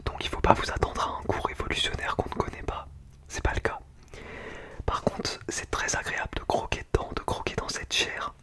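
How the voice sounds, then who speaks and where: An ASMR creator, speaking in a breathy, unvoiced whisper in an informal, slangy, chatty way while reviewing food. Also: brisk, pushed and bright. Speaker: ASMR video creator and host.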